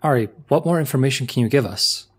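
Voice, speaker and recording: A level-headed male space crew member, played by an actor, in a sci-fi audio drama. His mid-pitched, slightly husky voice is questioning and practical. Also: fast, lightly acted. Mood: inquiring.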